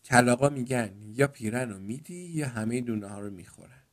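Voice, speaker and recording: A man's voice: medium pitch, lightly acted. Storybook farmer father, acted by a man: cajoling, feigning innocence, mid-range voice. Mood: coaxing. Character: farmer father, cajoling his young daughter with tall tales. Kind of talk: children's storytelling.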